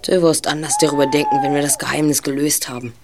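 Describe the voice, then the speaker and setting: A man's voice: fairly high pitch, huge pitch swings, fast talker, noisy background. An actor playing an eager, confident boy detective leader in an audio drama, speaking precisely and formally. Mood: determined. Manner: precise, formal, self-assured. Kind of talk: German audio drama dialogue.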